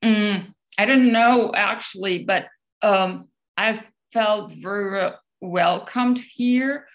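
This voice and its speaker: German woman chatting, lots of ums and restarts, mid pitch. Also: slow pace. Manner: hesitant, many ums and restarts. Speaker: guest speaker. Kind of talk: casual talk answering questions.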